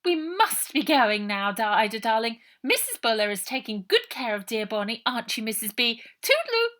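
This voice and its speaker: A woman's voice: fairly high pitch, big pitch swings, moderate pace, a bit bright, voice pushed up. A woman voicing a kind, posh young lady with an upper-class British accent who fusses with gushing endearments. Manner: fussing, sing-song endearments.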